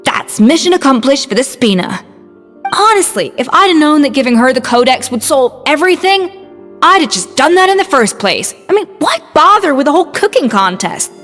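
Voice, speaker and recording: A proud, composed head chef in a game, with a slightly high voice and calm, measured delivery. The game audio carries a long reverb with faint music behind. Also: female voice. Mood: resigned. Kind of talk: video game voice acting.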